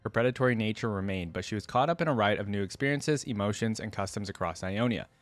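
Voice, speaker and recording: A man's voice: fairly low pitch, expressive, fast talker, no room sound, faint music behind. A man hosting a reaction video in a casual, conversational way, thinking aloud and reading aloud.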